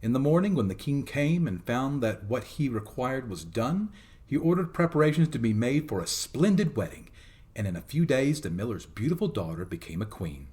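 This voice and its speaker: A man narrating a fairy tale as a storytelling host, in a theatrical, expressive dramatic reading. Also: mid-range voice, moderate pace.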